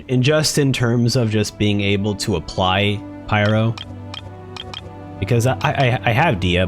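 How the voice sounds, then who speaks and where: A man streaming a video game, giving casual, joking reaction commentary over game music. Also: on the low side. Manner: playful, joking.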